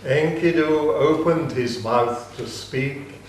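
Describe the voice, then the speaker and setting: A man narrating an ancient epic in a slow, measured dramatic reading. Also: fairly high pitch. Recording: very echoey room, faint ambience.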